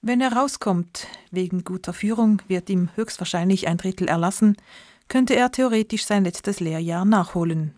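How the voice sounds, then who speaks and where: A woman reporter giving scripted, measured narration for a news feature. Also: mid-range voice, darkish tone, dry recording, clean studio sound. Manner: measured, descriptive.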